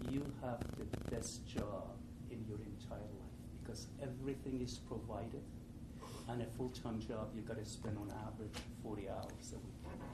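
A man in his sixties with an Iranian accent, a professor giving informal, didactic opening remarks to an audience as a panelist. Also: fairly low pitch. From Iran. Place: live panel event in a room with an audience. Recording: echoey noisy room, distant mic.

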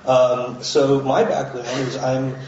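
Flat-voiced man speaking from afar in an echoey hall. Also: mid-range voice. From United States. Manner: halting, many uhs and restarts. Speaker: panelist. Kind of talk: panel self-introduction. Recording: distant, dull, very echoey room.

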